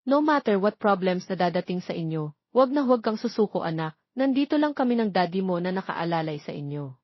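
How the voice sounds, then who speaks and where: A woman's voice: mid-range voice, animated, fairly quick, no echo, muffled recording, natural voice. A woman with a Filipino accent voicing a bride's proud, loving mother in an audio story. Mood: proud, tender.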